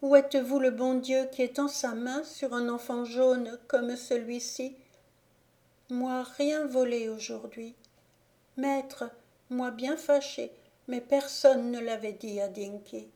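A woman's voice: on the high side, steady tone, slow, mellow, voice pushed up. A woman voicing a feverish, delirious young boy who pleads and rambles in a storybook reading. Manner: raised, breathless, pleading delivery.

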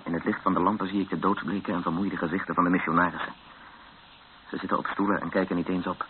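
An actor playing a weary, frightened captive who narrates a radio drama in brooding short sentences. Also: male voice, low-pitched, level tone, fast talker, natural voice. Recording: muffled, noisy old radio recording.

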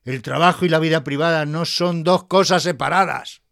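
A man performs a lovelorn schoolteacher in an audio drama, his voice on the high side. He is nervous and hesitant, and wounded reproach runs through his impassioned delivery. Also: moderate pace, vocal fry, fairly bright tone. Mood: wounded, reproachful. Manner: hesitant, stammering, turning impassioned. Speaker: actor.

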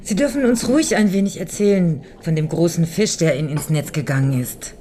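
A woman's voice: lowish voice, a little fast, dark tone, a little hiss or hum, close to an everyday voice. An actress playing a composed, knowing woman with legal savvy in a radio crime drama, dry and precise.

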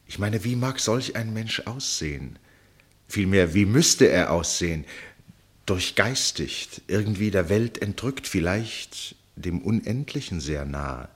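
An actor playing a curious, reflective gentleman traveller in a radio play, speaking in a musing, articulate manner. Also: low-pitched, animated, unhurried, breathy edge, exaggerated voice. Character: curious, reflective gentleman narrator, a ship passenger. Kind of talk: German literary radio play, narration and dialogue.

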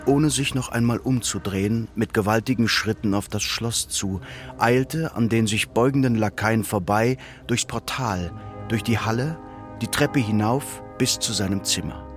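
A man narrating a literary radio drama in a measured, solemn and precise manner. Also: fairly low pitch, faint music behind.

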